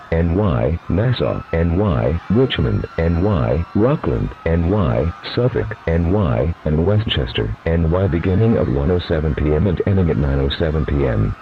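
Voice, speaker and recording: Super deep guy reading emergency alert, flat and formal, muffled lofi with noise behind. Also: moderate pace, few pauses. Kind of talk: scripted emergency broadcast announcement. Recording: muffled lo-fi broadcast with clear noise.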